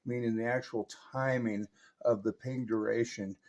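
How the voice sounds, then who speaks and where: A man's voice: mid-range voice, fairly even intonation, moderate pace, darkish tone. Man giving a hands-on technical walkthrough. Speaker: radio repair technician demonstrating. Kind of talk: instructional narration.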